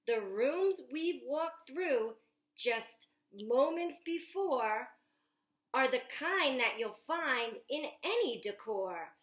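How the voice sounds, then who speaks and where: An imaginative young boy proudly leads a tour of his invented house, as a woman reads him aloud. The storybook rhymes bounce along slowly, high and swooping. The sound rings in an echoey room. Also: animated. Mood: proud, excited. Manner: bouncy rhyming read-aloud, stretched words.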